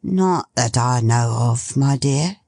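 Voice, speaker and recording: A woman's voice: very deep voice, lively intonation, darkish tone, no room sound, studio quality, heavily acted. A woman voicing a good-natured old working-class father who muses aloud in homely dialect. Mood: musing. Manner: slow, musing, homely dialect.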